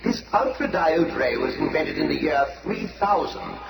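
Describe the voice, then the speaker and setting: An actor playing a grandiose villainous conqueror posing as a pharaoh in a 1970s radio drama, proclaiming pompously and theatrically. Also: male voice, fairly high pitch, lively intonation, normal speed, vocal fry, poor audio. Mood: boastful, theatrical. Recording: 1970s radio recording with music behind.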